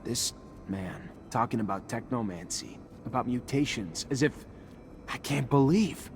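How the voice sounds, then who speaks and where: An actor playing an earnest, respectful young initiate soldier in a video game. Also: male voice, mid-range voice, expressive, unhurried, background noise. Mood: disbelieving. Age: young adult. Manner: earnest, deferential.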